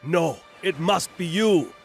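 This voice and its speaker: A man's voice: a little high, slow, gravelly, over music. An actor playing an earnest male advisor urging action, in a video game cutscene. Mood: urgent.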